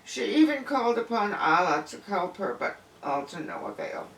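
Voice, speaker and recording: A man's voice: high voice, huge pitch swings, normal speed, gravelly, fairly bright tone. A man reading a folk tale aloud expressively, with dramatic, emphatic phrasing. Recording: distant, echoey room with faint noise.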